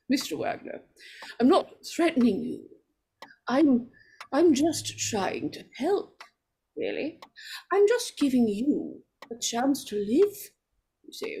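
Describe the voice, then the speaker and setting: A woman's voice: high-pitched, darkish tone, breathy edge, exaggerated voice. A woman playing a nervous, superstitious, insistent lady in an old-time radio horror play, stammering and repeating herself.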